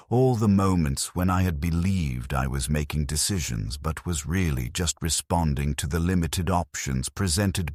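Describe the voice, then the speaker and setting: A man narrates a dramatic first-person story in an extremely deep, slightly rough voice. His delivery is grave and solemn yet moves expressively in pitch. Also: a little mellow, dry recording, studio quality.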